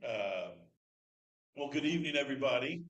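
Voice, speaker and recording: Guy teaching a class, loud easygoing talk, echoey room, camera way back. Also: mid-range voice, normal speed. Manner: loud, relaxed, folksy delivery. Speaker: park superintendent giving a training talk. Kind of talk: informal lecture. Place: classroom with projector screen, indoors. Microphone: far camera, no microphone. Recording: echoey room, speaker far from mic.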